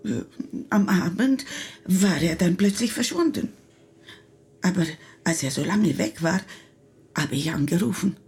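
An actress playing an agitated, pleading Italian wife in a German radio play, with an Italian accent and emotional delivery. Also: female voice, lowish voice, expressive, a little slow, creaky, pauses often, a little mellow. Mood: upset. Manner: emotional, slips into Italian exclamations.

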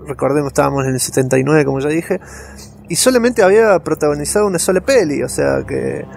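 A man's voice: on the high side, animated, fast, creaky, a little mellow. A man with an Argentine accent co-hosting a podcast, sharing film trivia in a colloquial way and repeating words for emphasis. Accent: Argentine Spanish. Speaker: podcast co-host. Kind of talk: casual film trivia chat.

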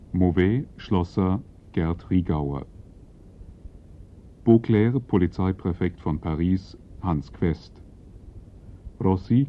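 A man formally reading out scripted cast credits as a radio announcer. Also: low voice. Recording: slightly dull radio recording.

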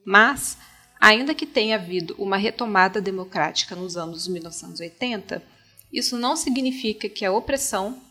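A woman's voice: medium pitch, a bit bright. A woman with a Brazilian accent presenting a podcast in scripted narration, articulate and opinionated.

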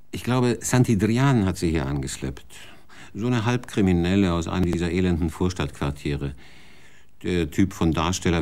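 A world-weary male TV executive in a radio play, with a low voice and a blunt, sardonic way of talking. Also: a little mellow. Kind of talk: radio drama acting.

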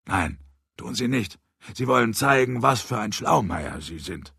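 A man voicing a calm, stern man in an audiobook, putting quiet, measured, probing questions. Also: mid-range voice, vocal fry, slightly rough, no echo, studio quality, exaggerated voice. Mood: curt. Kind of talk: audiobook character voice.

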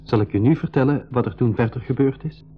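An actor narrating an adventure recap to children in a scripted radio storyteller style, through a radio-like filter. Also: male voice, medium pitch, fast talker, faint music behind. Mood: storytelling. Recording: narrow, tinny radio sound.

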